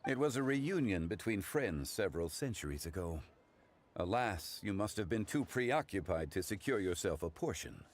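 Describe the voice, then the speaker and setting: Deep-voiced, courtly, learned gentleman. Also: sing-song. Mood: calm, reflective. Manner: formal, measured, eloquent, unhurried. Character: composed, learned, courteous man of immortal wisdom, consultant. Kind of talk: video game character dialogue.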